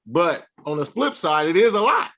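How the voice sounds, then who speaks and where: Lively slangy podcast co-host, man. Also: on the high side, normal speed. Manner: animated, slangy, playful. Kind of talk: casual chat.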